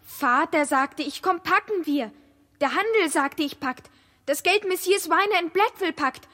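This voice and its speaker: Very high, bright, urgent daughter. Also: female voice, lively intonation, moderate pace, exaggerated voice. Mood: urgent, insistent. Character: urgent, pleading young daughter anxious to flee. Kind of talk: 1960s German radio play narration.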